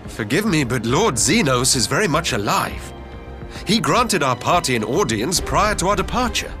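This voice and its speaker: Formal, lively-toned game envoy. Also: male voice, a little high. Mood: earnest. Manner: measured, formal, diplomatic. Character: formal, earnest Imperial envoy, second in command. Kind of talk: video game cutscene acting. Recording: loud game music behind.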